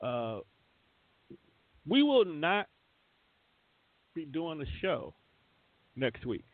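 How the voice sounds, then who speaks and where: A man's voice: a little high, very animated, very slow, no room sound. A man hosting a casual radio show, talking informally with plenty of slang. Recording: narrow, phone-like sound.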